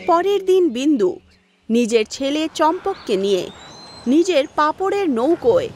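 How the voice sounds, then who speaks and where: A woman's voice: high voice, sing-song, unhurried. A woman narrating a scripted fairy tale as a cartoon storyteller. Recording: voice over background music.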